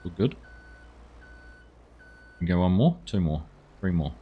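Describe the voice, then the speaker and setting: A man's voice: sits low, animated, unhurried, breathy edge, narrow, tinny audio. A man chatting casually while live-streaming a farming game, thanking viewers and answering their messages.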